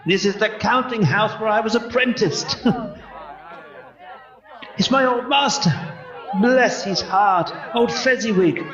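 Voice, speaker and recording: A man's voice: high-pitched, slow pace, pauses often, a crowd in the background. A man acting an elderly miser in a radio play, stammering, exclaiming and repeating his words with theatrical feeling. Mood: joyful. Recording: roomy, dull audio with music.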